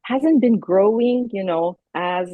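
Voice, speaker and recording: A woman from Iran whose first language is Persian, the guest in an interview, speaking in a thoughtful, measured way. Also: medium pitch, no room sound. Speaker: keynote guest, associate professor. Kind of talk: relaxed interview conversation. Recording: tinny phone-like line, dry.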